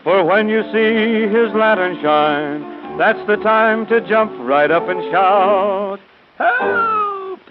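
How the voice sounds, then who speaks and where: A tinny vintage record with music playing behind the voice. A man sings an old-time novelty number in a high voice, taking it slowly. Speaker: singer. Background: musical accompaniment.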